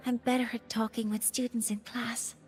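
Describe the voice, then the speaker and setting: Brief: a careful female professor, slightly high voice, faint music under. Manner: measured, formal. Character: composed woman professor, formal and careful.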